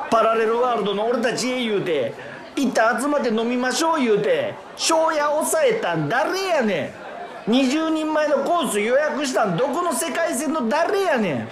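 Actor as an exasperated Kansai loser, declamatory. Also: male voice, very high voice, voice pushed up. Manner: exasperated, declamatory, rhetorical questioning. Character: indignant loser man ranting at his parallel-world selves. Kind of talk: comic radio drama monologue.

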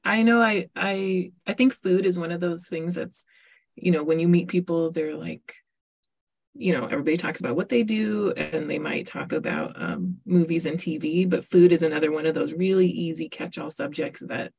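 A woman's voice: on the low side, normal speed, vocal fry, slightly rough. A woman hosting a podcast, chatting casually with a guest, with lots of fillers and laughs. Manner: warm chatty, laughs often, many fillers. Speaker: podcast host. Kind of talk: casual interview.

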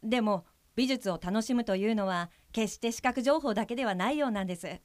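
Actress as a polite, frank woman, higher-pitched. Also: no room sound, natural voice. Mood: earnest. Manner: polite, measured, matter-of-fact with firm edge. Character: polite, frank woman who guides blind people. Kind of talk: audio drama acting.

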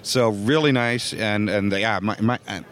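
A man's voice: fairly low pitch, a little slow, fairly bright tone. Dutch man talking with many restarts. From Netherlands. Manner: hesitant, many fillers and restarts, earnest. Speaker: guest, voice technology consultant. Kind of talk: podcast interview.

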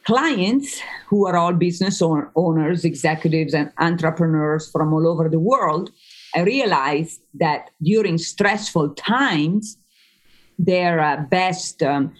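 A woman from northern Italy with an Italian accent, a native Italian speaker, chatting as a psychologist guest on a podcast and often pausing with fillers. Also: fairly low pitch, lively intonation. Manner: chatty, with frequent fillers and restarts. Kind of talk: podcast interview.

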